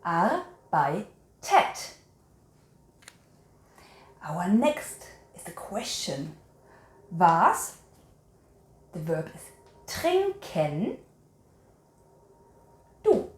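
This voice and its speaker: A woman's voice: on the low side, very animated, very slow, pauses often, roomy sound. A woman teaching a language quiz to viewers, instructive, repeating and carefully enunciating her example sentences. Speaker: language teacher and channel host.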